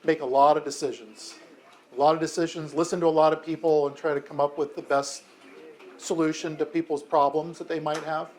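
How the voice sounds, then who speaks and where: A man's voice: on the high side, flat delivery, a little fast, a little mellow. An American man in his fifties, a mayor, answering a classroom of children's questions in a friendly, chatty way with frequent ums and self-corrections. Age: 50s. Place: elementary school classroom.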